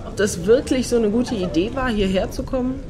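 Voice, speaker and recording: An actress playing a sensible, sceptical friend in a radio satire, with a dry, chiding manner. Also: female voice, fairly high pitch, brisk, a crowd in the background, close to an everyday voice. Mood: doubtful. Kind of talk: German radio play dialogue.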